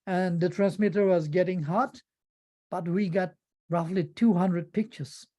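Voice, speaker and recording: A woman's voice: on the low side, fairly even intonation, unhurried, dark tone. A woman giving an informal presentation about a project as a presenter. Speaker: conference presenter.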